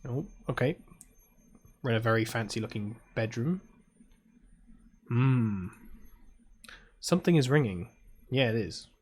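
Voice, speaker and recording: A man's voice: medium pitch, expressive, measured pace, long pauses, husky. A British man, host of his channel, giving casual, chatty commentary with self-mocking asides while playing a visual novel.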